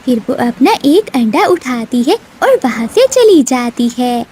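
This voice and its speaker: A woman's voice: sits high, very animated, unhurried, warm tone, slight background noise. A woman narrating a scripted children's cartoon story.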